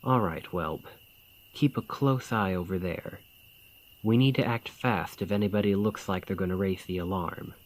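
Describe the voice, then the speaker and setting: A man acting a bandit leader in an ASMR fantasy roleplay, speaking in a colloquial, deliberate, conspiratorial manner. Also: sits low, close to an everyday voice. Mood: commanding, hushed planning. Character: gruff but caring male bandit leader, scheming, informal. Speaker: voice actor in audio roleplay.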